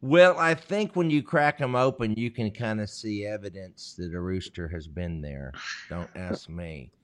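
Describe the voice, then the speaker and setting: A man's voice: on the low side, unhurried. Brief: man, co-host, folksy and teasing, reads comments aloud. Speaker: co-host reading viewer comments. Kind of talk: casual live-stream chat.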